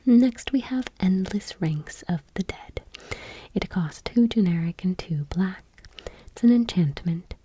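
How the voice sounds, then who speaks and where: A woman doing ASMR, reading gently and unhurriedly in a breathy whisper, with casual asides. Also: lowish voice, expressive, a little slow, no echo. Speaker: ASMR host.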